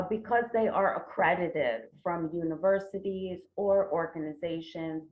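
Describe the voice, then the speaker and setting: A middle-aged American woman giving a formal academic conference presentation, phrasing carefully with pauses. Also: fairly low pitch. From United States. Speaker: conference presenter, traditional medicine researcher. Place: indoor room, remote online presentation. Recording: roomy, tinny phone-like online call audio.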